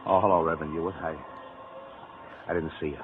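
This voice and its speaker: An actor playing a troubled, defiant ex-convict with curt, agitated street talk in a 1940s radio drama. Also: male voice, extremely low pitch, steady tone, fast, narrow, tinny audio. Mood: uneasy, evasive. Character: bitter, troubled male ex-convict, defiant and brooding. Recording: thin, slightly noisy old radio recording.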